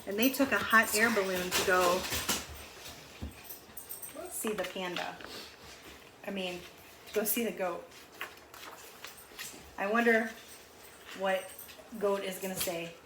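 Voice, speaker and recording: A woman's voice: mid-range voice, steady tone, slow pace, long pauses. A woman reading a picture book aloud to children in a chatty way, explaining words and pictures and asking questions along the way. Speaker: read-aloud storyteller. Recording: roomy echo, faint background noise.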